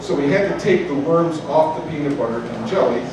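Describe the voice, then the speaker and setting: A man's voice: on the high side, brisk, background noise. A man in his sixties from Chicago's south side, telling a story live with folksy, humorous asides. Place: cafe. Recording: echoey cafe, distant mic, chatter. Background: room ambience and chatter.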